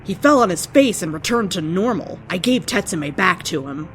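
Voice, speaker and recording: A woman's voice: lowish voice, lively intonation, moderate pace, a little hiss or hum. A woman giving a theatrical, dramatic reading of an amateur fan fiction story. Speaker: story reader.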